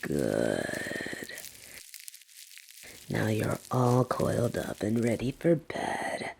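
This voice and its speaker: A woman voicing a soft but dominant lamia captor in an intimate audio roleplay, breathy and often whispering, condescending and possessive. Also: huge pitch swings, a little hiss or hum, exaggerated voice. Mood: soothing, possessive. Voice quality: breathy, often dropping into whispers and creaky low tones. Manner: slow, teasing, patronizing.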